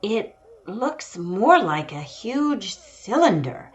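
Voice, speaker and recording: A woman's voice: mid-range voice, big pitch swings, extremely slow, lo-fi sound. A woman playing an earnest female radio news reporter in a 1930s-style broadcast drama, describing a scene with dramatic deliberation. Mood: awed. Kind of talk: 1930s radio newscast acting.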